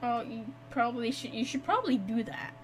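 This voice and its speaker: A woman giving casual, expressive gameplay commentary as a streamer, teasing and informal. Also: on the high side, brisk, breathy edge. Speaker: streamer reacting to a game story.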